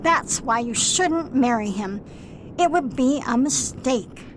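Suspicious, stern mother for radio play , female actor: high pitch, unhurried deliberate delivery, light noise behind. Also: expressive. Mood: disapproving. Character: disapproving, suspicious mother of grown daughter. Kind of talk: amateur radio play acting. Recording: faint rumbling background noise.

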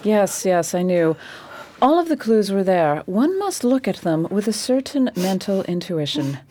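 A woman's voice: mid-range voice, sing-song, a little slow, warm tone. An actress playing a shrewd, stern lady detective with a formal, commanding manner in a period radio mystery. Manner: crisp commands, unsentimental, formal.

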